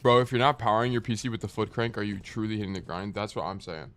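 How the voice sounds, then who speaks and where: A man's voice: fairly low pitch, steady tone, fast, fairly bright tone. A young man streaming and coaching a game, chatting casually with a stuffy, nasal morning voice and rambling as he answers chat. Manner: rambling, joking, reads chat aloud and answers.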